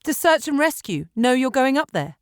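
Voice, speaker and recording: Woman acting a frantic, defiant woman, voice pitched high. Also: sing-song, normal speed, no room sound, studio quality. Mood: anxious, challenging. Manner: urgent, emphatic, pleading. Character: frantic, defiant young woman pleading with her man. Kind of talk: audiobook character acting.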